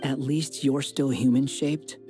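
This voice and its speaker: Man playing a slow-spoken, reserved game adventurer. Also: medium pitch, steady tone, lightly acted. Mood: dry, resigned. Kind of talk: video game voice acting. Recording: music behind the voice.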